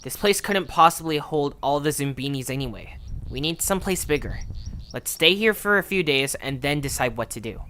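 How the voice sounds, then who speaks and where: A woman's voice: low-pitched, lively intonation, normal speed, fairly bright tone, slight background noise. A woman voicing an impatient, skeptical young leader of a creature band in an amateur audio story. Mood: firm. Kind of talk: amateur audio drama acting.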